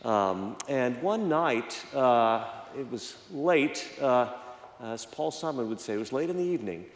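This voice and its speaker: Slightly hoarse preacher in an echoey hall. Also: male voice, medium pitch, animated. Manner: halting, many fillers and restarts. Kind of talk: reflective sermon with personal story. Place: church.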